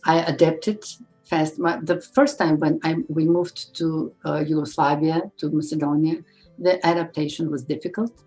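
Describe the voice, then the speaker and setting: A middle-aged woman from Uzbekistan, a librarian, answering interview questions thoughtfully with pauses. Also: sits low, steady tone, a little mellow, soft background music, rough recording. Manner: thoughtful, pausing with fillers.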